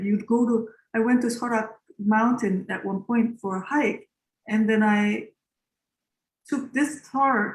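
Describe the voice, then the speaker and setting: Korean-born woman composer in her forties, reflective interview answers, roomy echo. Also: medium pitch. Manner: reflective, with many fillers and restarts.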